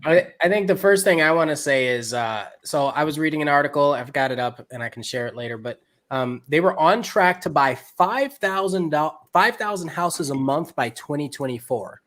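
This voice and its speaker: A man's voice: on the high side, expressive, moderate pace, a bit bright. A man who is a regular co-host, earnestly explaining a point on a casual livestream.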